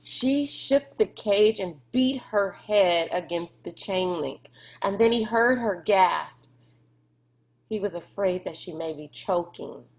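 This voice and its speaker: A woman's voice: mid-range voice, takes it slowly, low-quality recording. A woman reading a storybook aloud as narrator. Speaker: read-aloud reader.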